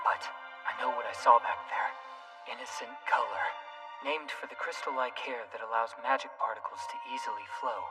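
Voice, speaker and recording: A woman voicing a serious, commanding young girl mage in an animated fantasy, bossy and analytical. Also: very deep voice, distant mic, poor audio. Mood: hushed, pensive. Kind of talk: animated fantasy dub acting.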